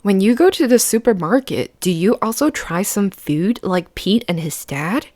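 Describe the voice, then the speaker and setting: A woman's voice: medium pitch, expressive, moderate pace, darkish tone, no room sound. A woman reading a picture book aloud to children, explaining simply and putting questions to the listener. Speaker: children's read-aloud host.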